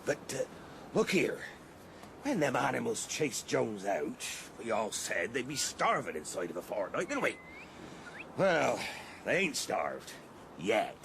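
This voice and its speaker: Gravelly, blustering rural English farmer. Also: male voice, medium pitch, sing-song, a little slow, fairly bright tone, a little hiss or hum, full character voice. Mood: grumbling. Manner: rustic, emphatic, grumbling. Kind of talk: radio drama acting.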